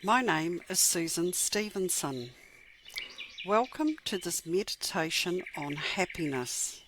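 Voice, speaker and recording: A woman guides a meditation in a somewhat low voice. She speaks very slowly and gently, leaving soothing pauses between phrases. Birdsong and clear background noise sit behind her. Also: lively intonation. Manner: measured, gentle, soothing delivery with long pauses. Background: birdsong and chirping.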